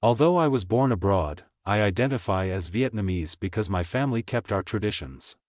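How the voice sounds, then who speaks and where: A man reading English practice sentences aloud, slowly and clearly, for learners to repeat. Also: fairly low pitch, no room sound, studio quality. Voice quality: plain, even reading of each sentence, repeated. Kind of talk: slow, clear scripted reading for shadowing practice.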